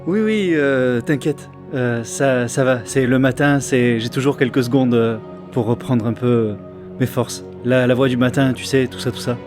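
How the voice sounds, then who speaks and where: A man voicing a groggy young woman adventurer with a rough, croaky morning voice, apologetic and reassuring. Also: mid-range voice, normal speed, music in the background, close to an everyday voice.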